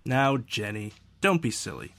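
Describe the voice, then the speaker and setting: A man's voice: lowish voice, very animated, measured pace, vocal fry, fairly bright tone, no echo, full character voice. An actor playing a mild, avuncular, slightly flustered father and district attorney in an old-time radio drama parody. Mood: mild bemusement. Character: mild fatherly district attorney, teen sleuth's indulgent dad.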